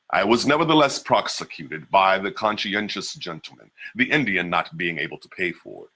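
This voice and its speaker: A man giving a measured, deliberate dramatic reading of an old first-person memoir. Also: low voice, pushed and bright, husky. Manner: measured, deliberate delivery with slowed emphases. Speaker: reader.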